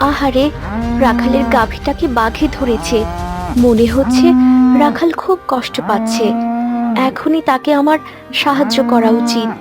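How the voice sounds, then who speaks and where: A woman voicing a gentle young serpent princess in a cartoon story, tender, earnest and trusting. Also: on the high side, steady tone, unhurried, pauses often, loud music behind the voice, close to an everyday voice. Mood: concerned. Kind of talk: dramatized cartoon story dialogue.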